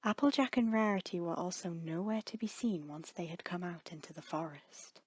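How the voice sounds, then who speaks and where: A woman's voice: lowish voice, moderate pace, slightly rough, no room sound. A woman narrator reading a scripted story in a dramatic storytelling style.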